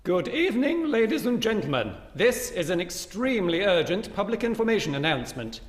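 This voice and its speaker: An actor playing a formal, chirpy announcer of a mock public information broadcast, with theatrical delivery. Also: male voice, high voice, sing-song, fairly quick. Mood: mock urgency. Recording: echoey stage hall.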